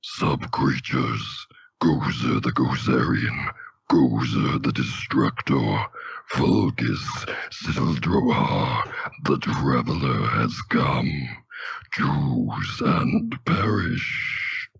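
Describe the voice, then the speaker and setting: A menacing, commanding god of destruction in comedic table-read style. The voice is extremely hoarse and full of gravel, drawn out with theatrical cartoon villainy, on slightly dull audio. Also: male voice, a bass voice, lively intonation, drawn-out words, fairly bright tone, no echo, pitched below the performer's own voice, heavily acted. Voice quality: very gravelly rasp.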